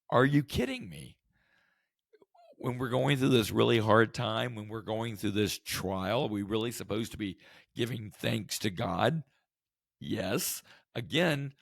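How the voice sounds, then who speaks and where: A man hosting a devotional podcast, his voice hoarse from the flu, teaching in an earnest, conversational way with rhetorical questions. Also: on the low side, dry recording, studio quality. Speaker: podcast host.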